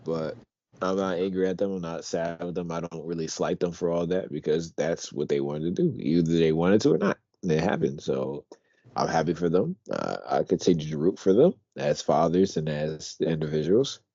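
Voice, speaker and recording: A young man from Pennsylvania co-hosting a podcast, talking in a deep, quiet voice through his teeth, relaxed and rambling. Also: moderate pace, gravelly, no room sound, muffled recording. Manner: relaxed, rambling, swears casually. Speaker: podcast co-host. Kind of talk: casual chat.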